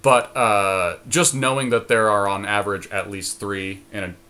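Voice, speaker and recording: A man's voice: fairly low pitch, steady tone, moderate pace, pushed and bright. A man co-hosting a podcast, chatting casually and in an easygoing, joking way over a card game draft. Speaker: podcast co-host.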